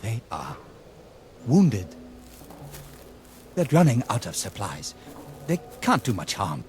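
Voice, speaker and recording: An actor playing a shaken, compassionate army lieutenant in a radio drama, hesitant, earnest and pleading. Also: male voice, fairly low pitch, wildly swooping pitch, slow pace, exaggerated voice. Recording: music under the voice.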